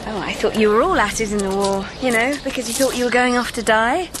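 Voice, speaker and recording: An actress playing a polite, earnest young television researcher in a naturalistic radio drama. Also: female voice, fairly high pitch, fairly even intonation, fast talker, natural voice. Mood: earnest, chatty. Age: young adult. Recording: radio drama with clear background noise.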